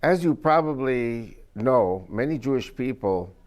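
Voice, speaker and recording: A man's voice: mid-range voice, animated, unhurried, a little mellow. A man from New York whose first language is Spanish, talking casually and rambling a little, chuckling at his own jokes. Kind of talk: casual talk.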